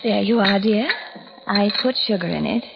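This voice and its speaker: A woman's voice: medium pitch, vocal fry, close to an everyday voice. An actress playing a cruel woman who taunts with false sweetness, in old-time radio drama style. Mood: sly menace. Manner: mock-solicitous, taunting, sardonic, drawn-out endearments. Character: cruel, mockingly sweet woman tormenting her blinded rival. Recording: dull, very noisy old radio recording.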